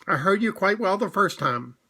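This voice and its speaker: A man's voice: lively intonation, normal speed, full character voice. Rabbit, a man doing a fussy raised voice. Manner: higher, louder, prim and careful. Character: fussy, cautious male rabbit.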